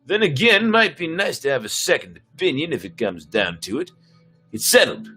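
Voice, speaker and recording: A man's voice: on the high side, big pitch swings, normal speed, sharp tone, slightly rough, faint music behind, exaggerated voice. A man playing a cocky, bossy, sarcastic spaceship captain in a sci-fi story reading, brash and commanding. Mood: flippant. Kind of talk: dramatic reading of sci-fi script.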